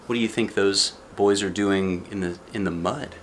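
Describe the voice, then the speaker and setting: A man's voice: low-pitched, fairly even intonation, normal speed, fairly bright tone, a little hiss or hum. A man reading a children's picture book aloud expressively, with chatty asides and questions to the young listener. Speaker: storybook reader.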